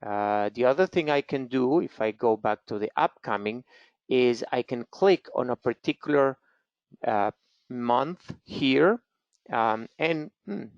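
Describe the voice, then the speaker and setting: A middle-aged man with a Venezuelan Spanish accent presenting a live webinar demo, unhurried and chatty, with lots of fillers. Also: mid-range voice, no echo. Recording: slightly dull lo-fi webinar audio.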